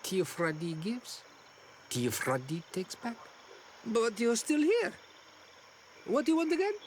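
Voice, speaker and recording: A slow, cryptic old hermit woman. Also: a little high, big pitch swings, a little mellow, slight background noise. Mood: detached. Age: older. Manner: slow, cryptic, musing, halting. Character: enigmatic forgetful old island hermit woman of the marsh. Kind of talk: video game character acting.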